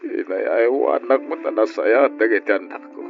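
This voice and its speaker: A man playing a middle-aged, hard-drinking widowed father in an old radio drama, with a drawn-out, emotional delivery. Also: medium pitch, creaky, faint music behind, natural voice. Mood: pleading, bitter. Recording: dull, lo-fi old radio recording.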